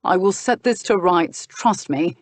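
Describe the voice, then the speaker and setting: A woman narrator acts a patient but exasperated gentleman of a historical romance. The delivery is measured, reasonable and dry, recorded close with no room sound. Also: on the low side, dry recording, natural voice. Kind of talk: historical romance audiobook character.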